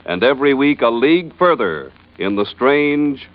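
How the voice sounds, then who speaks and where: A man's voice: medium pitch, sing-song, moderate pace, no echo. A man announcing a radio drama in a grand, declamatory 1940s scripted style. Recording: dull vintage radio recording, loud music.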